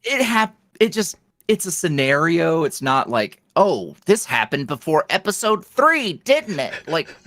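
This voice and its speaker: A man speaking as a podcast guest in a casual interview, animated and jokey, with slangy, self-deprecating talk. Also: a little high, measured pace, no echo, studio quality. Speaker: podcast guest, audio roleplay creator.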